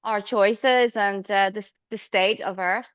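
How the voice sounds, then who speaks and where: A woman's voice: mid-range voice, steady tone, slow, smooth, dry recording, highs cut off. A woman from Ireland, a guest astrologer answering casually with fillers and restarts over a remote call. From Northern Ireland.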